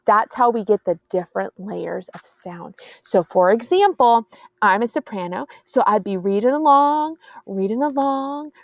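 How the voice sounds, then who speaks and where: High, gravelly woman teaching choir. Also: animated, measured pace, no room sound. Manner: explains patiently, counts beats aloud. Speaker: middle school choir teacher. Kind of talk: classroom music lesson. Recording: dull, dry lo-fi audio.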